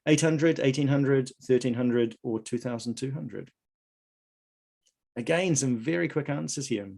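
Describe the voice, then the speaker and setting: A man hosting a live online quiz, reading out questions and answer options in a measured way. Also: medium pitch, vocal fry, darkish tone. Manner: reads options aloud in a measured list. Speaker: quiz host at an online conference.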